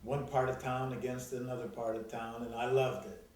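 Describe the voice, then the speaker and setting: An older man whose first language was Greek talks through memories slowly, pausing often as he thinks. He sounds set back from the mic, and a strong room echo hangs around his voice. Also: medium pitch, level tone, a little mellow, far from the mic. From Gary, Indiana, USA. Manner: reflective, halting, with long pauses. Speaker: oral history interviewee. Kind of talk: reminiscing conversation.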